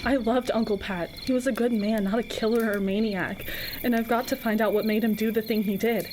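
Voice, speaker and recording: A woman's voice: a little high, steady tone, brisk, darkish tone, slight background noise, lightly acted, rough recording. A young woman acting in a scripted radio drama as a state trooper's frightened, devoted sweetheart, earnest and pleading. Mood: troubled, insistent. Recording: music bed under the voice.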